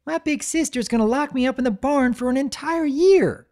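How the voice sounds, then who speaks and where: A woman's voice: sits high, moderate pace, a little mellow, pitched above the performer's own voice, lightly acted. A tearful farm filly with a rural Southern drawl, as a woman puts her on in a storybook reading. Her voice climbs well above the narrator's, wobbly and pleading. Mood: wailing. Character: weepy country farm filly.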